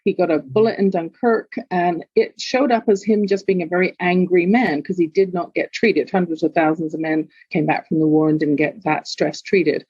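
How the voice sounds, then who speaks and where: A woman's voice: on the low side, steady tone, moderate pace, hardly any pauses, a little mellow, breathy edge, dry recording, highs cut off. An older woman with a London accent, a podcast guest telling her life story in an earnest, reflective way. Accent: London English. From England. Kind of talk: candid personal storytelling.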